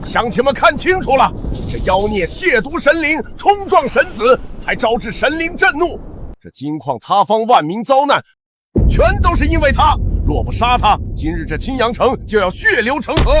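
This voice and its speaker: An actor playing an arrogant elder sect ancestor villain, bombastic and theatrical, in a historical fantasy drama. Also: male voice, very high-pitched, big pitch swings, normal speed, a bit bright, a little hiss or hum, heavily acted. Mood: accusing.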